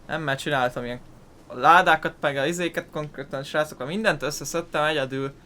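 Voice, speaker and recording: A man's voice: on the high side, steady tone, quick pace, pushed and bright. A man with a Hungarian accent giving casual, rambling let's play commentary, thinking aloud as he plays. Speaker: gaming YouTuber.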